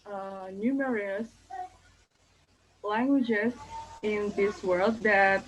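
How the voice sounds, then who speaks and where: Slow, hesitant Indonesian-accented young woman. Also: medium pitch, slight background noise, poor audio. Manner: careful, hesitant, many fillers and restarts. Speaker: thesis candidate. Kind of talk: thesis defense presentation.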